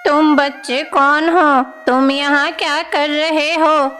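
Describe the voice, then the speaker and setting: Woman playing a kindly, slow-spoken village grandmother. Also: high voice, level tone, pushed and bright, faint music behind, full character voice. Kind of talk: devotional animated story dialogue.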